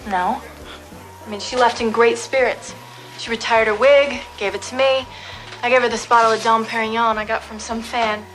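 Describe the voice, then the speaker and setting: An actress playing a frank, breezy adult-film performer in a naturalistic audio drama, casual and chatty. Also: female voice, fairly high pitch, steady tone, moderate pace, fairly bright tone, soft background music, lightly acted, rough recording. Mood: wistful, candid. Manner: casual, matter-of-fact, chatty.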